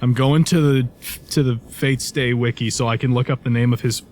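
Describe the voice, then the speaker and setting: A man co-hosting a let's play with casual, rambling, profane commentary, often halting as he searches for words. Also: mid-range voice, fairly even intonation, darkish tone, a little hiss or hum.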